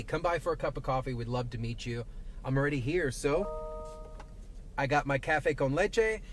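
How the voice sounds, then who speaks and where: A man with a Southern twang, a traffic safety reporter chatting casually through a live stream from inside his truck, rambling with lots of fillers. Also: fairly high pitch. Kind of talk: live-streamed casual commentary while driving. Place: inside a parked and moving truck. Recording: faint vehicle noise behind.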